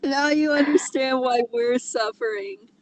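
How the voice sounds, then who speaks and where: A woman's voice: high-pitched, unhurried, no echo, poor audio. A woman co-hosting a show, chatting casually and reading a story aloud. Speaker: co-host of a fan fiction reading show. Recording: faint background noise.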